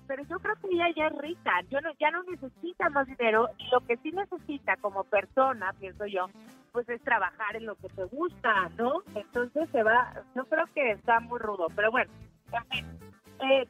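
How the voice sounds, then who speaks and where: A woman's voice: high-pitched, fairly bright tone, poor audio. A woman presenting a showbiz gossip segment on radio, chatty and opinionated. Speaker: entertainment news reporter.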